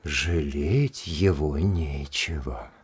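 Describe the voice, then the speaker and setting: An actor in an audio drama playing a haughty, indignant aristocratic official who speaks in an emphatic, scornful and domineering way. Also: male voice, a bass voice, extremely slow, slightly rough, full character voice. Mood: imperious. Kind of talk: Russian audio drama acting.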